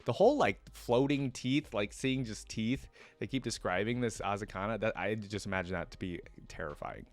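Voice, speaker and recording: A man hosting a reaction video, reading a fantasy story aloud and breaking off for casual comments. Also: fairly low pitch.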